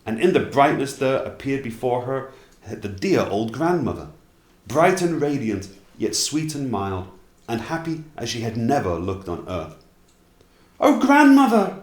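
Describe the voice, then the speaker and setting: A man narrating fairy tales as an expressive storyteller, at times dropping into casual asides. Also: medium pitch, measured pace, reverberant.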